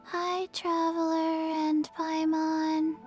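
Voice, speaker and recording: A woman voicing a small, earnest undead girl in a video game, speaking softly in halting, simple phrases. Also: very high-pitched, monotone, full character voice. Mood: flat, earnest. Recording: game music behind the voice.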